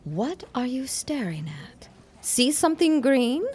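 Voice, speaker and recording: A woman's voice: high-pitched, very animated, slow pace, a little mellow, breathy edge, full character voice. A woman acting a brusque, flirtatious and world-weary secretary in a 1910s period audio drama, with curt, slangy, sardonic delivery. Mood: irritated, sardonic.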